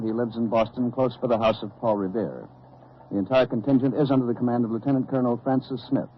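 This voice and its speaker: Man as formal 1940s radio anchor, composed, mid-pitched, quick delivery, muffled vintage sound. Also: close to an everyday voice. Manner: measured, formal announcing. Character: composed male New York radio news anchor. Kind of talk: 1940s radio acting, formal news bulletin. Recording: dull old radio recording, faint noise.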